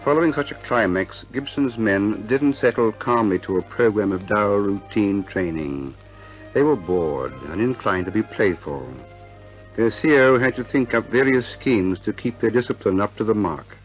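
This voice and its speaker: A man's voice: fairly low pitch, lively intonation, normal speed, soft background music, close to an everyday voice, rough recording. A man narrating a scripted radio war drama as its composed author.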